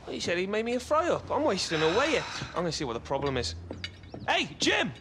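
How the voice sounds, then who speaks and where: Brash, high-voiced young British mate. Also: male voice, wildly swooping pitch, fast, pushed and bright, a little hiss or hum, heavily acted. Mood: impatient shouting. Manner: chatty, brash, calling out. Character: loud, hungry, cheeky young houseguest mate. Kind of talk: video game cutscene acting.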